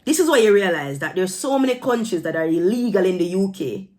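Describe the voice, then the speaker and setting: A woman's voice: medium pitch, expressive, brisk, a little mellow. A woman from Jamaica giving opinionated, casual commentary, speaking emphatically and stressing words with rhetorical questions. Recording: music playing behind the voice. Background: background music.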